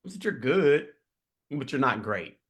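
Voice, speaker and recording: A forty-year-old man speaking as an interview guest, slow, earnest and reflective. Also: mid-range voice, sing-song, fairly bright tone. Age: 40s. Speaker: guest musician. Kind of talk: casual interview chat.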